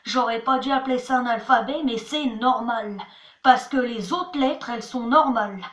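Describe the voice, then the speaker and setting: A woman's voice: a little high, moderate pace, fairly bright tone. A woman giving a homemade language lesson, chatty, spelling words out letter by letter and repeating "voilà" and "attention". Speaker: channel host teaching words. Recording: echoey room.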